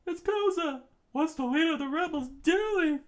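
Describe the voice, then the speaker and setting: A man voicing a panicked young male palace guard in a strained high falsetto, reporting urgently. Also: fairly even intonation, normal speed. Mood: alarmed.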